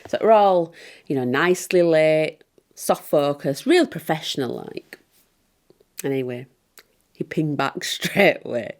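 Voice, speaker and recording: An actress playing a smug, scheming pantomime stepsister, gossiping confidingly in a comic monologue. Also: female voice, low voice, expressive, a little slow, long pauses. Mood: gloating, sly.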